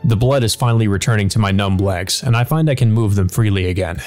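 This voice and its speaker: A man narrates a visual novel in a fairly low voice. His delivery is scripted and dramatic, with faint music underneath. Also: quick pace, darkish tone. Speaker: let's play narrator reading a visual novel aloud.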